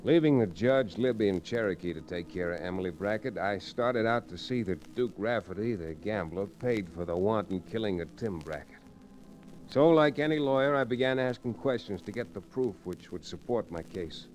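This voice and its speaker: Low-voiced, laconic frontier lawyer played by a man. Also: expressive, normal speed, a little mellow, close to an everyday voice. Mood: grave narration. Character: cool, steady frontier lawyer hero, an adult man. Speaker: lead actor and narrator. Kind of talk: old-time radio western acting. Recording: noisy old radio recording.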